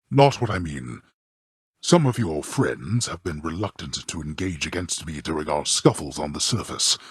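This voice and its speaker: A man playing a stern, scornful god-king of the underworld in a video game, speaking in a formal, haughty, measured way with a gravelly, husky voice. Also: sits low, a bit bright, dry recording, clean studio sound, heavily acted. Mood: cold, grudging. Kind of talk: theatrical video game character dialogue.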